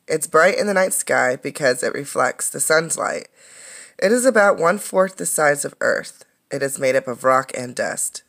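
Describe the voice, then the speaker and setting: A woman's voice: low voice, vocal fry, fairly bright tone. A woman reading a children's science book aloud like a teacher, explaining things as she goes. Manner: explanatory, pausing to comment and ask questions.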